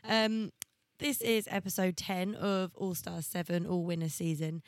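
A hoarse woman co-hosting a podcast in casual, blunt, jokey chat. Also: lowish voice, a little slow. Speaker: podcast co-host.

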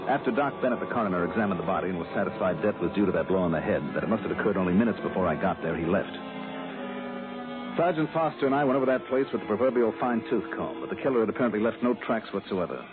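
Man playing smooth private investigator, deep voice, matter-of-fact, vintage radio drama, tinny broadcast sound. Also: lively intonation, very fast, music in the background, lightly acted. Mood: grave, matter-of-fact. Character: wry, smooth private investigator narrating his case. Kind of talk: 1960s radio detective drama, narration and dialogue. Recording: muffled old radio broadcast, phone-like band.

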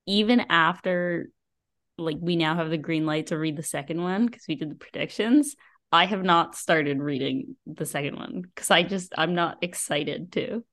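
A woman's voice: on the low side, normal speed, fairly bright tone. A woman co-hosting a book podcast, giving opinions in casual, exclamatory talk peppered with "like". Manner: informal, exclamatory, frequent "like".

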